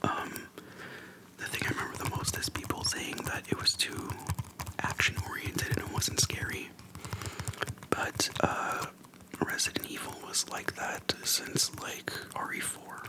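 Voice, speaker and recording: An ASMR creator speaks entirely in a hushed, breath-only whisper, close to the microphone. The delivery is casual and slangy, like chatting with a friend, with unhurried pauses between short phrases. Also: rough recording. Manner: informal slangy speech. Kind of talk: whispered ASMR, casual nostalgic game chat. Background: soft tapping and clicking.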